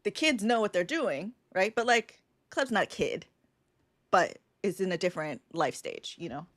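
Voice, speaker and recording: A woman's voice: mid-range voice, expressive, moderate pace, a bit bright, dry recording, studio quality. A woman co-hosting a podcast, thinking aloud casually with many fillers. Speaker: podcast co-host. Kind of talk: casual live podcast brainstorm.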